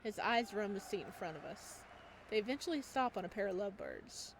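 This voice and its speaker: A woman's voice: medium pitch, animated, brisk, gravelly, a little hiss or hum, poor audio. A woman reading a visual novel aloud expressively as a let's-player, with casual asides, on a close home microphone.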